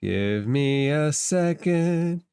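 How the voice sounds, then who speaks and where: A man's voice: fairly high pitch, expressive, very slow, a little mellow, clean tone, dry recording. Dry, close-sounding man as game master. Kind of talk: running a tabletop roleplaying game, improvised.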